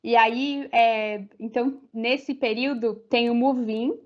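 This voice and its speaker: A woman's voice: on the high side, fairly even intonation, takes it slowly. A young Brazilian woman with a Brazilian Portuguese accent, a university student chatting as a podcast guest, full of fillers and restarts. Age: young adult. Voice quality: many fillers, rambling restarts. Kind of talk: casual interview chat. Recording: slightly muffled audio.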